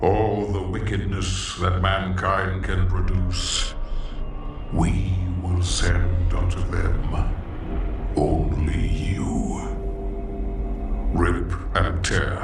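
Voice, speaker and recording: A man's voice: low-pitched, level tone, drawn-out words, pauses often, exaggerated voice. A man voicing a grim, wrathful crusader of doom from a video game, solemn and menacing. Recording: echoey, distant, music behind.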